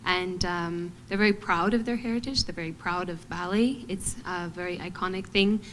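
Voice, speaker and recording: A young adult woman from Montana, a ballet artist answering on a panel in a thoughtful, measured way with frequent pauses and fillers. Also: fairly low pitch, fairly bright tone, slight background noise, poor audio. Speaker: guest artist, ballet dancer.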